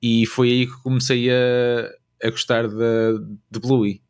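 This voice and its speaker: Male interviewee, a father, average pitch, European Portuguese accent, casual, hesitant delivery. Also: little pitch movement, slow, clean tone.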